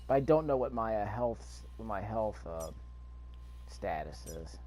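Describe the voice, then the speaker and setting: A man's voice: on the low side, animated, unhurried, dark tone, slight background noise. A man giving casual, off-the-cuff gameplay commentary, musing aloud and repeating his phrases. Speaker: gaming video host. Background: faint video game ambience.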